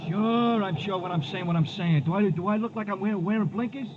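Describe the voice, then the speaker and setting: A man's voice: high-pitched, brisk, natural voice. An actor in a 1950s radio drama playing a chatty, fussy horse-race gambler who repeats his phrases and talks in slang. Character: chatty, fussy male racetrack gambler on a train. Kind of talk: 1950s radio comedy character acting. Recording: noisy, narrow-band old radio recording.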